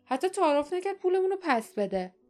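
A woman's voice: extremely high pitch, big pitch swings, darkish tone, soft background music, voice pushed up. A woman voicing an outspoken young adventurer girl in a storybook, with a direct, colloquial, pointed manner. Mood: wry grumbling. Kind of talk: dramatized audiobook character voice.

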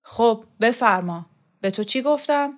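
A woman's voice: fairly high pitch, animated, voice pushed up. A woman voicing a blunt, coarse middle-aged strongman who scolds and swears. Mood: scornful. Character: tough, blunt middle-aged male strongman, scornful and gruff.

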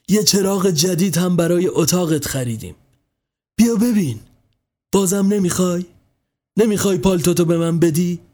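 A worried middle-aged mother, as a male narrator puts her on, her voice lifting high and pleading as she begs her son to stay. She sounds tearful and anxious, reaching up in pitch well above the narrator's own voice. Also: warm tone.

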